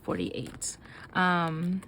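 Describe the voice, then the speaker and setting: A woman's voice: lowish voice, background noise. A young woman vlogging about her budget as she counts cash aloud, sounding nasal and short of breath from illness. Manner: counts bills aloud in quick chatty bursts. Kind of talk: casual chat while counting cash.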